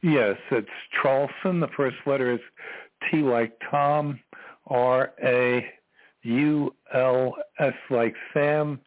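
A man's voice: mid-range voice, fairly even intonation, dry recording. Older man on a phone line. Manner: spells slowly, earnest and grandiose. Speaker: caller. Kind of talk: call-in radio talk. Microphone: telephone.

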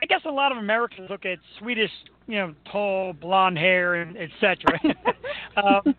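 A man hosting a talk show, asking questions in a joking, laid-back way. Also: sits high. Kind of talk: casual interview chat. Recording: tinny phone-like line, dry.

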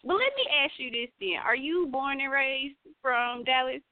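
A woman radio host talks in a relaxed, slangy way, leaning on fillers as she thinks aloud. Her voice sits a touch high and dry. It comes through a thin phone-like line. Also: normal speed, no room sound. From United States. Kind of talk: casual radio talk. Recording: tinny phone-line sound.

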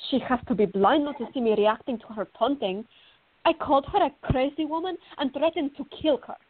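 Through a thin, phone-like sound comes an actress as a feisty young woman with a high, slightly rough voice. She is hot-tempered and argumentative, playing out an indignant radio drama scene in an echoey space. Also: brisk, natural voice. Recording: tinny, band-limited, echoey room.

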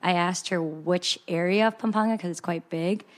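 A woman's voice: fairly low pitch, moderate pace. A young adult woman chef from San Jose, chatting casually as a podcast guest, laughing often and trailing off mid-sentence. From San Jose, California. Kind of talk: casual chat.

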